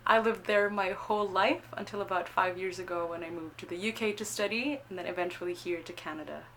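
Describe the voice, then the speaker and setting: Young woman, singer, introduces her set at a recital; gentle, explanatory, slightly low voice, faint hum behind. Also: brisk, low-quality recording. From Philippines. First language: Tagalog.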